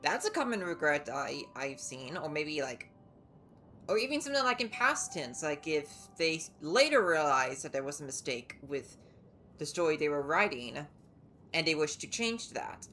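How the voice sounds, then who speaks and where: A woman giving casual live game commentary as a streamer, thinking aloud in a halting way. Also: low-pitched, sing-song, pushed and bright, faint music behind. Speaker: livestreamer playing a game.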